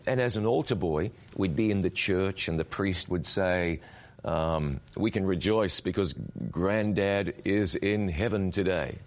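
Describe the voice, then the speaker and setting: A middle-aged man with a very deep voice. He tells his story as an interview guest, earnest and reflective. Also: a little slow. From New Zealand. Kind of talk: interview conversation.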